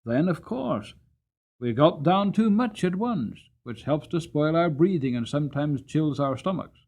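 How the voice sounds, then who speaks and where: A man voicing a gentle, earnest cab horse who tells his own life story in an expressive storybook reading. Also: a little high, unhurried, pitched above the performer's own voice. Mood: reproachful. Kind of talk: dramatic audiobook storytelling.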